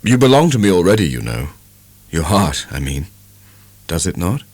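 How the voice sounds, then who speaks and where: A man voicing an ardent, tender young gentleman suitor in a dramatic audiobook, coaxing and affectionate. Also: deep voice, sing-song, normal speed, dry recording.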